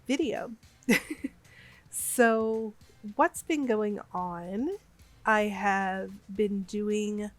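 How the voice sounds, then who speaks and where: A woman in her thirties with a slight cold, rambling hesitantly with many fillers in a casual voiceover over a game build. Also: mid-range voice. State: has a cold, a bit sniffly. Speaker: gaming YouTuber.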